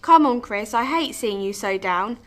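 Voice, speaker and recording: A woman acting a politician's supportive spouse in a radio drama, brisk and encouraging. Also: fairly high pitch, animated, lightly acted. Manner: encouraging, familiar.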